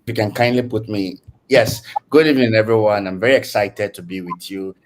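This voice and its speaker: A man's voice: on the low side, fairly even intonation, brisk, a little hiss or hum. A man with a Nigerian accent giving a casual online tech lecture over a video call, chatty and repetitive, often checking in with "okay?". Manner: chatty, repeats words, frequent "okay?" check-ins. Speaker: guest speaker, tech community leader.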